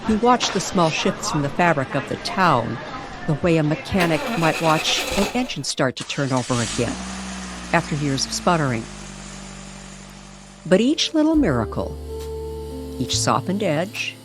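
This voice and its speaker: Female storyteller for nostalgic radio-style narration, unhurried and melodic in pitch, music underneath. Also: very animated.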